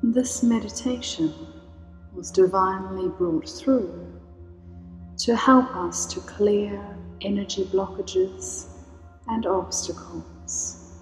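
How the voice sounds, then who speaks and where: A woman guides a meditation in a slow, soothing voice, calling gently on healing energies. Her tone stays even, with little rise or fall. Her voice carries a long, roomy reverb, with faint music underneath. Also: mid-range voice. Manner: slow, soothing, invocational delivery.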